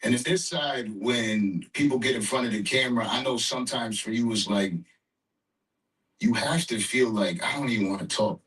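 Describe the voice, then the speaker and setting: Male, mid-pitched voice, casual conversation, off-mic. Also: normal speed, a bit bright, distant mic, rough recording.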